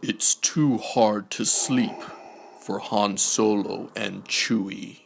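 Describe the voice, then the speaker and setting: A man narrating a bedtime storybook aloud in a hushed, measured, rhyming delivery. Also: fairly low pitch, fairly even intonation, creaky, slight background noise.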